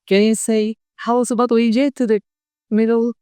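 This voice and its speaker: A man's voice: very high-pitched, flat delivery, a little fast, darkish tone, clean tone, no echo. A man hosting a podcast interview, friendly and upbeat as he introduces guests and asks questions. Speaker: podcast host.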